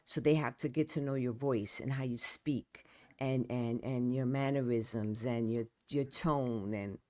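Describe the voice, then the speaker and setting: A woman's voice: extremely low pitch, fairly even intonation, measured pace, warm tone, dry recording. A middle-aged woman with a New York accent, a guest poet and author chatting casually, repeating words and trailing off. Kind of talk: casual conversation.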